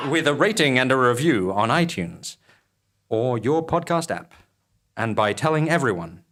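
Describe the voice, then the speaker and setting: A man delivering scripted narration and show credits as host, in a measured, theatrical manner. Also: mid-range voice, fairly bright tone.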